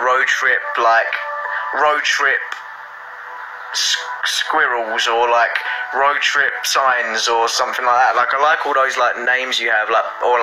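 A man's voice: medium pitch, low-quality recording. Laid-back young man chatting on a phone stream. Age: young adult. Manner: relaxed, thanks fans, sings snippets. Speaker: band member streaming live. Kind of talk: casual livestream chat reading comments. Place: indoors, lying on a couch. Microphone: phone camera held at arm's length.

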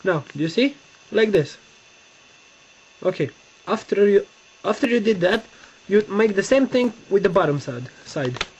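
Halting Romanian-accented young man. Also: high voice, lively intonation, breathy edge. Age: young adult. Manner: halting, searching for words, repeats himself. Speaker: tutorial maker. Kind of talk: casual step-by-step demonstration. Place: bedroom, seated on a bed indoors. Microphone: webcam close-up. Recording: dull lo-fi webcam audio with faint hiss.

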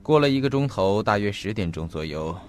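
A man acting in an audio drama as an earnest investigator, speaking in a formal, deliberate and detailed storytelling manner. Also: lowish voice, expressive, close to an everyday voice. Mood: grave, explanatory. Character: earnest male investigator recounting a mysterious disappearance. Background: faint background music.

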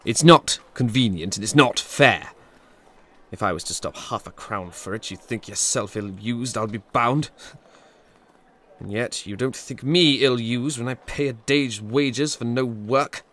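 An actor playing Scrooge, a bitter old miser who talks in a cold, dismissive, sneering way. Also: male voice, mid-range voice, unhurried, fairly bright tone, lightly acted. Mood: grudging. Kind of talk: audio drama acting.

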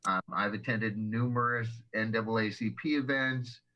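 A man's voice: fairly low pitch, fairly even intonation, moderate pace, highs cut off. A man who is a longtime city councilor, speaking steadily in a council meeting. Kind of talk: formal meeting remarks.

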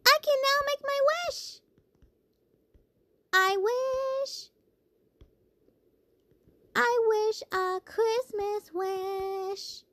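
A woman voicing a sweet, excitable young female cartoon character, exclaiming her lines haltingly. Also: very high voice, no room sound, exaggerated voice. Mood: wistful singing. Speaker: amateur voice actor. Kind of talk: performing scripted cartoon lines.

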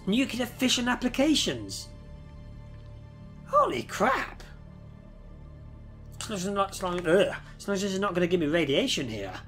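A man's voice: high-pitched, huge pitch swings, moderate pace, long pauses, fairly bright tone, soft background music. A man in his thirties with a head cold and a nasal voice, chatting casually over a game and swearing at the creatures on screen. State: head cold, stuffy nose. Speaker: gameplay streamer. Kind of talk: casual gameplay commentary. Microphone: headset.

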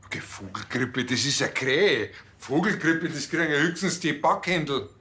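A man's voice: on the high side, moderate pace, bright, forceful tone. An actor playing an earnest, naive small-town policeman with a colloquial Bavarian accent in a TV crime comedy. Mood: defensive.

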